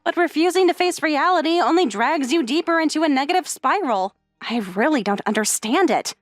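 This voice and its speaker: Woman acting a high-pitched, haughty rich schoolgirl. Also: lively intonation, brisk, dry recording, studio quality, soft background music. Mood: polite, earnest. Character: rich, poised high school girl, sharp-tongued, lazily demanding at home. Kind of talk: anime-style manga dub acting.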